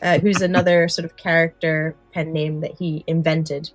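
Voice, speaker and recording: Low-voiced woman, enthusiastic podcast host. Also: little pitch movement, moderate pace, soft background music. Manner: enthusiastic, explanatory. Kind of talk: podcast discussion, part prepared book summary.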